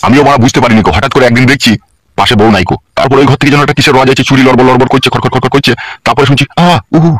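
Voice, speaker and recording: A man's voice: medium pitch, rapid-fire, fairly bright tone, no echo, lightly acted. A man playing a wronged, desperate husband in a comedy cartoon, speaking crudely. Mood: embarrassed, aggrieved. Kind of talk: amateur comedy cartoon dialogue.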